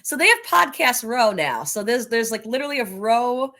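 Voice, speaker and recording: A woman's voice: a little high, sing-song, moderate pace, a crowd in the background. An enthusiastic, talkative woman speaking emphatically as a guest in a conversational interview. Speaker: podcast guest, soccer coaching advocate.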